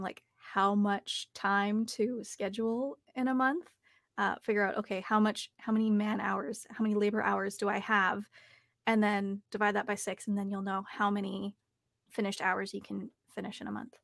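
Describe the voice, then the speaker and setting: A woman's voice: mid-range voice, flat delivery, moderate pace, gravelly, no room sound, studio quality. A young woman giving casual, explanatory advice as an interview guest. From Canada. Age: young adult. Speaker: guest, professional audiobook narrator and voice actor. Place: home recording booth, indoors. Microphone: studio microphone on a boom arm.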